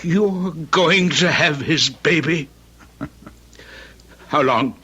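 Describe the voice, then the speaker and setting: An actor playing a pompous, stern Victorian gentleman with a formal manner, in an old-time radio drama. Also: on the high side, drawn-out words, slightly rough, full character voice. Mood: outraged.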